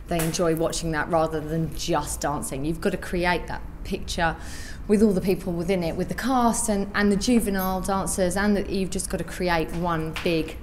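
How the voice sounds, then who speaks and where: Lower-pitched woman in conversational interview. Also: a little hiss or hum. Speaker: dance professional in pantomime.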